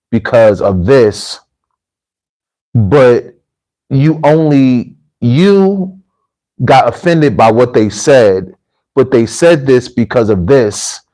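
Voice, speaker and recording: An American man hosts a casual livestream in a medium-pitched voice. He speaks informally and directly to his viewers. He often circles back and repeats a phrase to stress it. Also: darkish tone, poor audio. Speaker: livestream host and repatriation coach. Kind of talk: casual livestream talk, addressing viewers and telling anecdotes.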